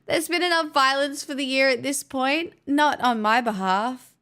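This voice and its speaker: A woman's voice: sits high, normal speed, bright, forceful tone. A woman hosting a livestream, chatting casually with viewers in a playful, teasing way. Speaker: livestream host.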